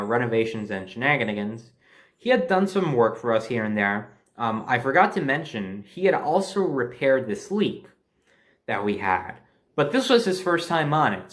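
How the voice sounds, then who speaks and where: An American man telling a personal anecdote in a casual, conversational and emphatic way, as a storyteller. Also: mid-range voice. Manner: conversational, emphatic, mildly profane.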